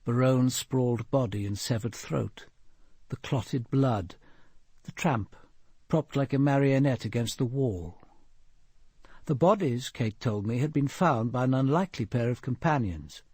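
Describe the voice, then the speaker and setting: An actor playing a composed, observant senior detective, narrating a murder case in a measured, deliberate radio drama style. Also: male voice, mid-range voice, mellow, husky, no echo, clean studio sound. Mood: grave, measured. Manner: deliberate, precise, grave.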